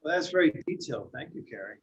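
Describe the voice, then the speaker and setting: Muffled American board chair, a man explaining haltingly. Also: fairly high pitch, lively intonation. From Massachusetts, USA. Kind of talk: informal explanation at a public board meeting. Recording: muffled, lo-fi meeting audio with noise.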